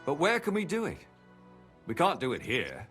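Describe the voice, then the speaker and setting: A man's voice: on the high side, huge pitch swings, moderate pace, fairly bright tone. A man acting an anxious, doubtful accomplice in a video game drama. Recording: music under the voice.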